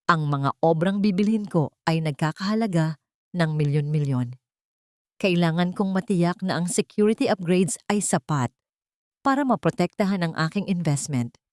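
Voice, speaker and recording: A woman's voice: on the low side, lively intonation, moderate pace, a little mellow, no room sound, clean studio sound, close to an everyday voice. A woman voicing a composed, cold businessman in an audio drama, formal and authoritative in manner. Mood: businesslike. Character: composed, cold businessman posing as art investor.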